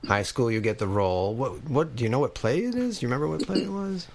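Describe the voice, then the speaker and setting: Give this the voice of a male podcast host around fifty, from Long Island. He talks in an average male pitch. His delivery is that of a casual interviewer introducing and questioning guests. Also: expressive, quick pace, gravelly, noisy background. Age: about 50.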